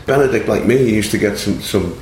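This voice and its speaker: A man's voice: lowish voice, fast, darkish tone. A middle-aged man, an actor, talking in a hesitant way with many restarts during an interview. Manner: hesitant, full of restarts and repetitions. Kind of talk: interview conversation. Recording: echoey room with faint background noise.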